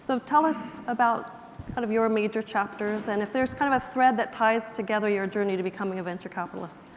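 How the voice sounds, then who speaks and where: A woman hosting a stage panel, introducing guests in a measured, polite way with some fillers. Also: mid-range voice. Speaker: moderator host. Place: museum auditorium stage. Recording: reverberant auditorium, faint background noise.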